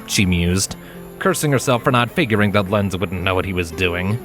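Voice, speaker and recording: A man reading scripted fiction as narrator, in a measured and expressive storytelling manner, with music behind him. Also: deep voice.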